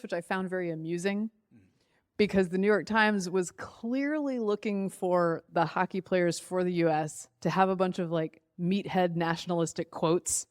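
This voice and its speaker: A woman's voice: medium pitch, dry recording. Sarcastic woman podcast host, dry sound. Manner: sarcastic, quick, animated storytelling. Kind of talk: casual podcast chat.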